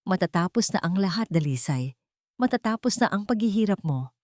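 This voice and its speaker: A woman's voice: medium pitch, animated, fairly quick, darkish tone, no echo, lightly acted. A woman plays a cruel Filipino husband in an audio drama. The delivery is cold and threatening, in a dry recording. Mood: cold, hushing. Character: cold, menacing husband coercing his suffering wife.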